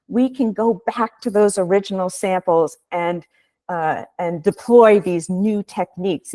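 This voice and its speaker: Woman, researcher, measured and explanatory, dry close sound, video call. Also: lowish voice, a little mellow, no echo. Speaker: researcher presenting a webinar. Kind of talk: webinar presentation.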